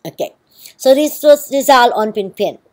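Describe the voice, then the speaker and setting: A woman's voice: fairly high pitch, normal speed, darkish tone. A woman with a Filipino accent giving a university lecture, explaining with frequent ums and checking okays. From Philippines.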